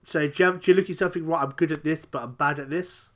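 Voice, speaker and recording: A young man hosting a casual podcast interview, chatty and quick to laugh, asking eager questions. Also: on the high side, steady tone. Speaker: podcast host.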